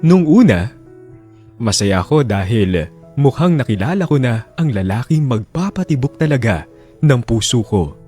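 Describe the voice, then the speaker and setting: Animated Filipino male storyteller. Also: medium pitch, moderate pace, soft background music. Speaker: radio drama storyteller. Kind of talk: reading a confessional letter as dramatic narration.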